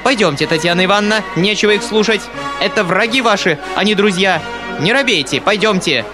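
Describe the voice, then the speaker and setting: A shrieking, enraged middle-aged noblewoman, as a male storyteller puts her on, her voice lifted high above his own. She scolds in loud, piercing bursts, and music plays softly underneath. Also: brisk, natural voice. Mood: indignant. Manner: screeching, scolding. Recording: music playing behind.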